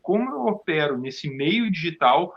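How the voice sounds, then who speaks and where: A man giving a thoughtful, halting answer in a conversational interview. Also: a little high, sing-song, highs cut off. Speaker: theatre performer, interview guest.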